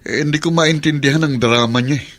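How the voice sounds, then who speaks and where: A man's voice: a little high, fast, fairly bright tone, lightly acted. A man playing an exasperated, nagging grown son in a radio drama, insistent and complaining. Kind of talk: Filipino radio drama acting.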